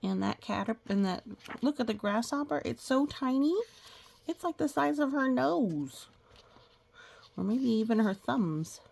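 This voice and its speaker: A woman reading a children's picture book aloud, with playful sound effects and casual, gentle explanatory asides. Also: fairly high pitch, expressive, unhurried, creaky, a little hiss or hum. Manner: explains gently to young listeners.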